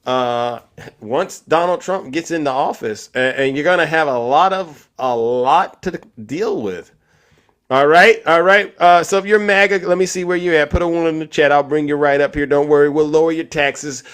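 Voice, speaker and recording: A man hosting a live call-in stream, talking in loud, hype-man banter that repeats itself. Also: a little high, moderate pace, smooth. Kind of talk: casual call-in chat.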